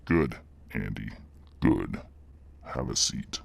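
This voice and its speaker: A man voicing a menacing reptilian demon boss with a deep gravelly growl, speaking in slow, mock-polite, condescending corporate fashion. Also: lively intonation, heavily acted. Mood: cold, condescending.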